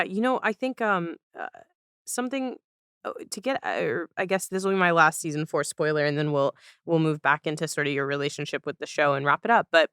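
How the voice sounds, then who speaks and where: A podcast host speaks in a bright and very clear voice. The delivery is casual and conversational, hesitating while working through a thought. The recording is tight and dry. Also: medium pitch, no room sound. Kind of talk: casual interview chat.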